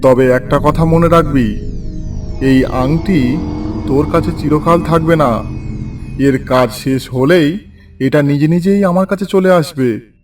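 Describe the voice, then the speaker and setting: A man's voice: a little high, slow, long pauses, distant mic, music in the background. A man playing an authoritative holy man in a fairy tale cartoon, speaking in a solemn, patronising way. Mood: solemn, instructive. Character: calm, authoritative holy man granting magical help. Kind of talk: children's cartoon voice acting.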